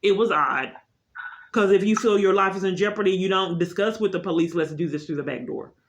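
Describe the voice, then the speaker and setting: A woman's voice: medium pitch, fairly quick. A woman caller speaking unscripted in an insistent, long-winded complaint, often repeating herself.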